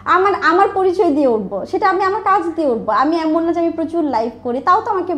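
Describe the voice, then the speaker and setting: A woman's voice: extremely high pitch, lively intonation, quick pace, a bit bright. A woman with a Bangladeshi accent speaking candidly and emphatically in a conversational interview, mixing in English words. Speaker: interview guest, online business owner.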